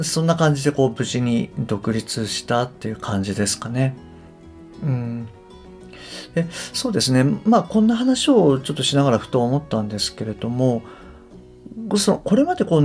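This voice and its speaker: A middle-aged man hosts a casual solo podcast in a reflective, tentative way. His pitch rises and falls in a lively pattern. Soft guitar music plays behind his voice. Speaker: podcast host and English coach.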